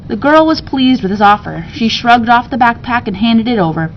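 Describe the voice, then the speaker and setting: A woman's voice: on the high side, expressive, moderate pace, lo-fi sound, slight background noise. A woman reading a children's story aloud expressively, like a teacher to students, with casual asides.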